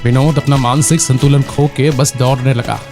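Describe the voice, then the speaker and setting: A man narrating a scripted horror story in a measured, suspenseful way. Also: medium pitch, steady tone. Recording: loud music behind the voice. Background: loud music.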